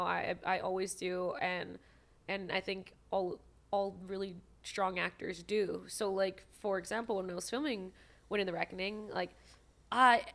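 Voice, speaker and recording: A young adult woman from Maui, an actress guest telling stories in a casual, animated podcast chat. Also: medium pitch. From Maui, Hawaii. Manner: animated, quick storytelling with restarts.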